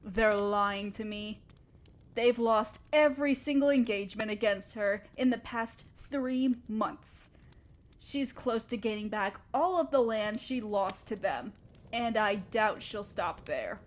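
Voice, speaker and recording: A woman's voice: mid-range voice, slow pace, lightly acted. A woman acting a haughty, ruthless evil queen with an imperious, theatrical manner, speaking to a subordinate in a scripted fantasy audio roleplay. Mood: bitter, brooding. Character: haughty, ruthless evil sorceress queen fretting over her threatened empire.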